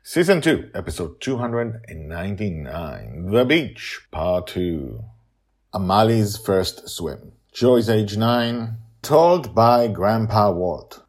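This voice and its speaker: Man, low-pitched, husky, podcast host, candid and halting, emphatic storyteller. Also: big pitch swings. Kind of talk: casual spoken introduction, then story narration.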